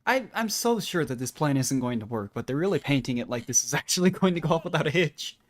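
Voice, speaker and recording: A man's voice: on the high side, animated, fast. A man streaming a video game, giving casual, rambling and self-deprecating commentary as he plays.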